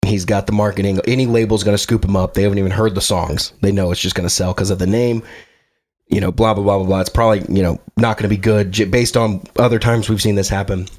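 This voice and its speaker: A man co-hosting a podcast in casual chat, a little hoarse as he is losing his voice, relaxed and joking. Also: on the low side, fast. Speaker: podcast co-host.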